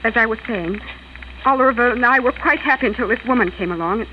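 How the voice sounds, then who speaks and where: An American actress playing a bitter, flustered wronged wife in a 1940s radio drama. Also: female voice, on the high side, a little fast, lightly acted. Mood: indignant, bitter. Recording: dull old radio recording, faint noise.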